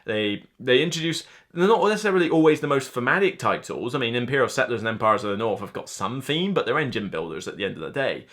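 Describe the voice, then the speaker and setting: A man's voice: mid-range voice, lively intonation, quick pace, hardly any pauses, a bit bright. A man in his thirties giving casual, enthusiastic, conversational commentary to camera as a board game reviewer. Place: indoor room lined with game shelves.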